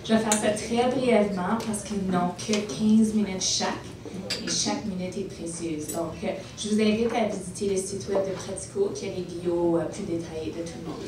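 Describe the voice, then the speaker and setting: A woman moderator introduces the next speakers briskly, with fillers and a quick self-correction. Her mid-range voice comes from a distance in a big, echoing hall. Steady noise runs underneath. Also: medium pitch. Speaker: conference moderator. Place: large banquet hall. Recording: echoey hall, distant mic, background noise.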